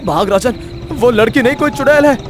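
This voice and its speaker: A man's voice: very high-pitched, brisk, music in the background, pitched above the performer's own voice. A man voicing a frightened young man in a horror story, with an urgent, alarmed, exclaiming manner. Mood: panicked. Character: frightened young man telling of a ghost chase. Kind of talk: acted dialogue in horror storytelling.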